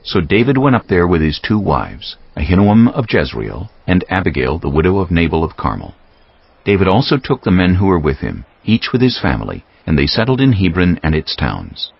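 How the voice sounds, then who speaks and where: A man giving measured, solemn, dramatic narration of scripture as a scripted reading. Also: deep voice, very animated, creaky, slightly rough. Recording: dull lo-fi audio with music.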